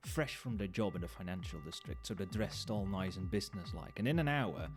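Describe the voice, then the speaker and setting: A man's voice: sits low, lively intonation, a little fast. A man playing a chatty, knowing nightclub barman in an ASMR roleplay, talking conversationally to the listener with wry understatement. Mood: amused, chatty. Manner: conversational, explanatory, with hesitations and understatement. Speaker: voice actor in an audio roleplay. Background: soft club music and nightclub ambience.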